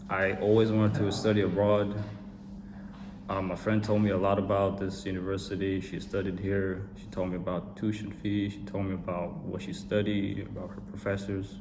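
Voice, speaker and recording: A young man from Korea giving measured, steady interview answers. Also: lowish voice. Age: young adult. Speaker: interviewed student. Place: indoor studio room with a cartoon backdrop. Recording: echoey room with noisy background.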